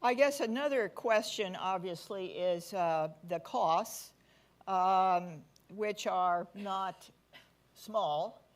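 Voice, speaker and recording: Woman, forum moderator, curious conversational questioner in a conference setting. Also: on the low side. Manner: inquisitive, conversational with fillers. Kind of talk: policy forum discussion. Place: conference hall.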